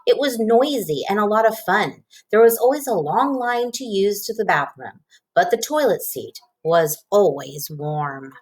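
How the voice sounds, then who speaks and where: A woman narrates a children's storybook aloud. Her voice sits at a middle pitch and moves expressively up and down, in the manner of someone reading to young listeners. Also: animated, a little slow. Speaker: storybook reader.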